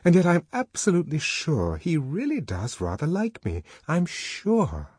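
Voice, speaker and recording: A man's voice: on the high side, huge pitch swings, unhurried, darkish tone, husky, no room sound, studio quality, voice pushed up, full character voice. A man voicing a wistful, anxious young woman. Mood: wistful doubt. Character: melancholy, lovestruck young woman thinking anxiously to herself.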